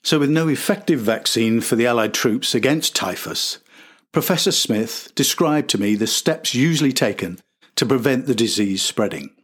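A man's voice: medium pitch, lively intonation, moderate pace, breathy edge. A man hosting and narrating a scripted historical documentary. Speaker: podcast host and narrator.

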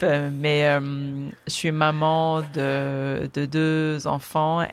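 Slow, gravelly woman. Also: deep voice, slight background noise. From Victoria, British Columbia, Canada. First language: English. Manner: slow, thoughtful, with hesitations. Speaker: podcast co-host. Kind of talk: casual chat.

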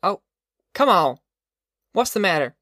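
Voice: mid-range voice, sing-song, measured pace, fairly bright tone, no room sound, clean studio sound, pitched above the performer's own voice. A reader voicing a cocky, evasive young country man with an offhand rustic drawl in an audiobook story. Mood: teasing.

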